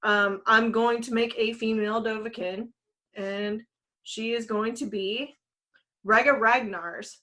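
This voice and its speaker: A woman with a mid-pitched voice chats casually as a podcast co-host. Her delivery is informal and enthusiastic, with salty language, like someone sharing a favorite hobby with friends. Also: steady tone, a little slow, sharp tone. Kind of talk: casual panel chat about games and movies.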